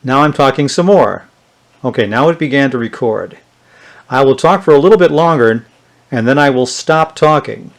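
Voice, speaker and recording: A man's voice: medium pitch, lively intonation, normal speed. A man giving a casual, unscripted hands-on demonstration, thinking aloud as he tests things. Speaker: vintage electronics reviewer.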